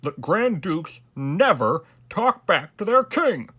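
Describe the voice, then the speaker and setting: A narrator as a loud, emphatic storybook king. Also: medium pitch, sing-song, measured pace, breathy edge, voice pushed up. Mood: stern. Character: commanding, stern yet easily delighted storybook king.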